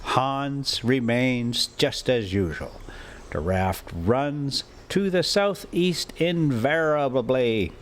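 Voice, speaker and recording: A man reading a classic adventure story aloud as a storyteller, in deliberate, halting phrases with an informal touch. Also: mid-range voice, animated. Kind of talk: audiobook-style reading with casual asides.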